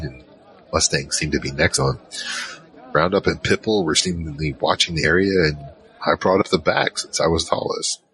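A man's voice: rumbling low, fairly bright tone, rough recording. A man playing a curious, hesitant adventurer who recounts his story in a conversational first person for an audio drama. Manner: conversational, hesitant, searching for words. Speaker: narrator and lead character. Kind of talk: in-character first-person scripted narration.

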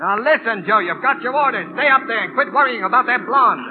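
Tough, animated flight boss, tinny radio. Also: male voice, very high voice, fast talker. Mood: stern. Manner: brusque, sardonic, clipped commands. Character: tough, hard-boiled male boss of mail pilots. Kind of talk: 1940s radio drama acting. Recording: tinny, band-limited old radio broadcast, crowd noise.

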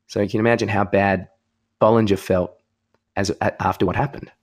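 Lower-pitched Australian man chatting casually. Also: steady tone, a little fast. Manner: opinionated, informal, sweary. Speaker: podcast co-host. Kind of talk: casual chat about sport.

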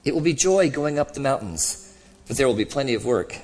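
A man voicing a gentle, cheerful redeemed spirit in a storybook reading, patient, humble and lightly amused. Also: mid-range voice, expressive, fairly quick, pitched above the performer's own voice, rough recording. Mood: joyful, inviting.

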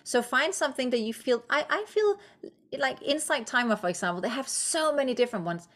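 A woman's voice: sits high, animated, brisk, a bit bright. A woman from Denmark whose first language is Danish, a guest author and coach speaking English in a podcast interview, encouraging and reflective with frequent fillers.

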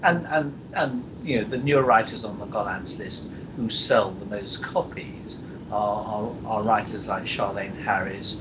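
A low-pitched man talks publishing in an informal panel setting. He often repeats words and restarts phrases. The sound is dull and lo-fi, with a faint rumble underneath. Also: unhurried, hardly any pauses. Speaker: publisher, podcast guest. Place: live convention recording over internet call. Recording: muffled lo-fi internet call with rumbling noise.

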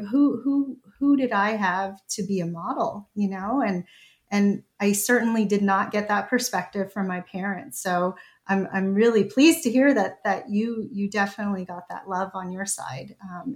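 A woman's voice: mid-range voice, steady tone, moderate pace. A woman in her thirties, the guest host of a podcast, talking in an affirming, conversational way with frequent fillers. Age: 30s. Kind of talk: casual conversation.

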